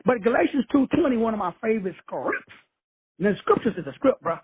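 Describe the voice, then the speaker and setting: Husky man preaching over the phone. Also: high-pitched, big pitch swings, brisk, no room sound. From Texas. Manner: swaggering, preachy, peppered with profanity and slang. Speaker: host of a call-in show. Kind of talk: profane motivational preaching. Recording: tinny phone line, dry.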